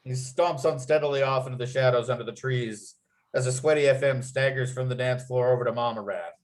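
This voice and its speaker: A man's voice: medium pitch, brisk. A man narrating at a script read, reading out stage directions and scene headings.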